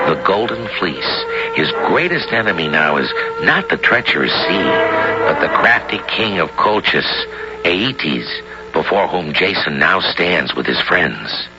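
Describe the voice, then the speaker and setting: A man hosting and narrating a radio adventure, with a dramatic, deliberate storyteller's delivery. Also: deep voice. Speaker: host and narrator. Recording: dull old radio recording. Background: loud music.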